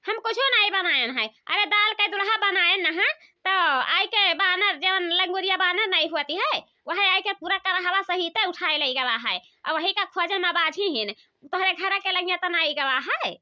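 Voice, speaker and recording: A woman playing a sharp-tongued, put-upon village daughter-in-law in a comic cartoon, nagging in rustic talk with an Awadhi accent. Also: very high voice, moderate pace. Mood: complaining. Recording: dull lo-fi sound.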